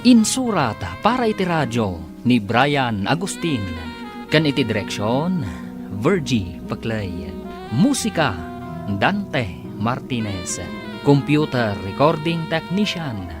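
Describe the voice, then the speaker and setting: Male radio-drama narrator, measured announcer read, lively pitch, music under him.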